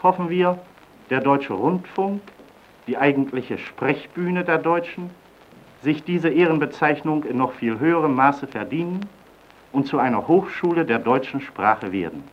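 An old, muffled 1920s radio recording of a man speaking formally. He declaims solemnly, like a scholar addressing the nation, with a fairly high voice. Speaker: linguist giving a radio address.

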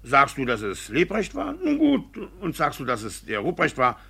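A sly village judge in a classic radio drama. His voice is rough and husky, swinging widely in pitch. He blusters, dodges and stumbles over his words like a man hiding guilt. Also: huge pitch swings, moderate pace, pushed and bright, exaggerated voice. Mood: coaxing, menacing. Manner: blustering, evasive, hesitant stammering. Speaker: actor in a radio play. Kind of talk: theatrical classic radio play acting.

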